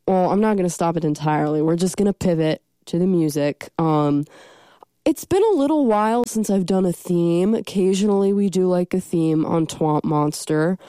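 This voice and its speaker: A woman's voice: lowish voice, warm tone, clear, resonant tone, dry recording. A young woman with a stuffy cold, hosting a college radio show in casual, rambling chat from a studio. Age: young adult. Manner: rambling, self-deprecating, many ums.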